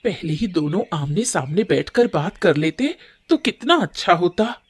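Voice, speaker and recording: A woman's voice: lowish voice, animated, unhurried, husky. A woman voicing a caring village wife. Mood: encouraging. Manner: gentle, encouraging. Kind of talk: cartoon story dub.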